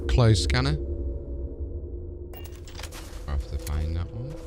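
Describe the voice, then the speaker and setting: A British man with a deep voice commentates casually on a video game as he plays. He talks informally, as if thinking aloud to viewers. Loud music plays behind his voice throughout. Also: sing-song, fairly quick. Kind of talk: casual live gameplay commentary.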